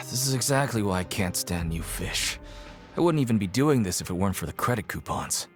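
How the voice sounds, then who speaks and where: An actor playing a gruff, cynical, streetwise inmate who works as a prison guide in a video game, curt and jaded in manner. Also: male voice, on the low side, animated, moderate pace, natural voice. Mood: irritated, dismissive. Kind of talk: video game character acting. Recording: game music behind the voice.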